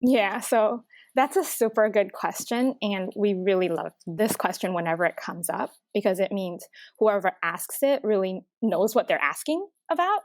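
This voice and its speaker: A woman from Taiwan, a company representative, talking casually in an interview and pausing often with filler words. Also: mid-range voice, no room sound. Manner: halting, full of filler words.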